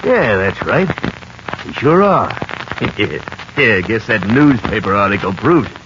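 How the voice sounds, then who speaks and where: Smug, low-voiced Western crook, crackly radio. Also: male voice, big pitch swings, unhurried. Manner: folksy slangy tough talk with gloating chuckles. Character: smug crooked Western land-grabber, gloating murderer. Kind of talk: 1950s radio western acting. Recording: muffled, noisy, crackly 1950s radio recording.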